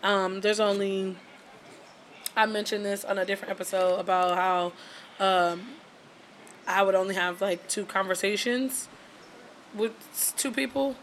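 A woman in her twenties co-hosting a podcast, talking in animated, teasing casual banter and laughing often. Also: medium pitch, a little slow, pauses often, slight background noise. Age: 20s. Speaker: podcast co-host.

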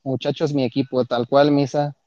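A man's voice: mid-range voice, flat delivery, normal speed, dark tone, no room sound. A man with a Mexican accent streaming, chatting casually with viewers in slangy, profane speech. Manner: slangy, profane, reads and answers chat. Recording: dull lo-fi dry sound.

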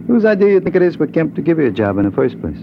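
Swooping, pushy big-shot bully. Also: male voice, on the high side, big pitch swings, very fast, vocal fry. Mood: smug, oily. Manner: oily, domineering, slangy. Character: pushy, arrogant male nightclub owner and bully. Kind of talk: 1950s radio drama acting. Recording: muffled 1950s radio recording, noisy.

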